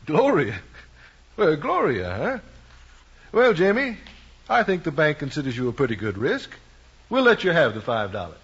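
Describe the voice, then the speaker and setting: Genial small-town American bank president: fatherly, folksy, lively intonation, old-time drama on a lo-fi recording. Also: a little high, moderate pace, pauses often, slightly rough, exaggerated voice. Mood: kindly. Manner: folksy, avuncular, hesitating. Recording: dull, lo-fi vintage recording.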